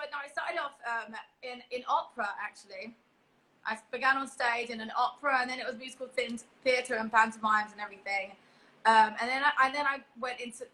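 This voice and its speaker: A woman's voice: fairly high pitch, sharp tone, slight background noise, low-quality recording. A young woman guest chatting casually on a video call, laughing often and restarting her sentences. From England. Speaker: guest performer. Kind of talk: casual interview chat.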